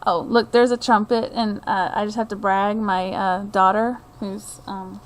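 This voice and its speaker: A middle-aged woman reading a children's picture book aloud, with expressive storytelling, deliberate phrasing and asides to her listeners. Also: mid-range voice. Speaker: read-aloud host.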